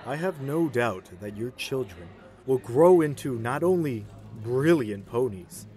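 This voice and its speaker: A man's voice: medium pitch, expressive, unhurried, dark tone, lightly acted. A man playing a pompous, scheming pony nobleman in an audio drama, speaking in a formal, condescending way. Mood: oily, flattering. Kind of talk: fan audio drama acting.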